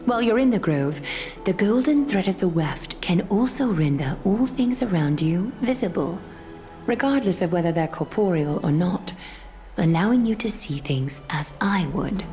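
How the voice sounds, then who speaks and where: An actress playing a composed, regal noblewoman leader in a video game, speaking formally and in a measured, gracious way. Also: fairly low pitch, expressive, mellow, faint music behind, natural voice. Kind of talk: scripted video game dialogue.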